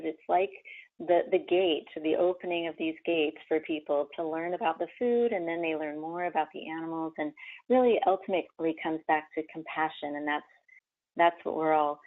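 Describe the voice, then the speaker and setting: Host woman on a tinny phone line. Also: low voice. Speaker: host, leads a vegan spirituality group. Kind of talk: interview hosting.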